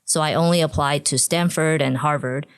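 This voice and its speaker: A woman's voice: low voice, fairly even intonation, normal speed, clean tone, no room sound. A woman from Taiwan, a podcast guest, chatting casually about her student years and often dropping "like" into her sentences. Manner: chatty, frequent "like" fillers, laughs easily.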